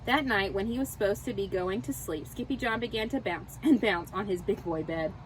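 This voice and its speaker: A woman reading a children's story aloud in an expressive, dramatic way, with Spanish words sprinkled in. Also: mid-range voice, fairly quick, slight background noise, poor audio. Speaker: storybook reader. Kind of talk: animated children's read-aloud.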